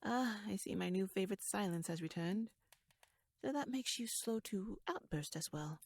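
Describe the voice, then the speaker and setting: Actress as a shrewd royal lady for an audio roleplay: hushed, airy voice, mid-range, measured courtly wit, very dry recording. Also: medium pitch, lively intonation, creaky, dry recording. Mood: probing, wry. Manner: wry, courtly, measured, probing. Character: shrewd, teasing young noblewoman, poised royal chancellor. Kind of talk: ASMR audio roleplay, dramatic monologue.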